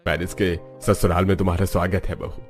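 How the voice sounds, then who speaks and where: A man's voice: low-pitched, little pitch movement, a little fast, soft background music, natural voice. A man voicing a kindly, traditional older father-in-law welcoming his family in a cartoon.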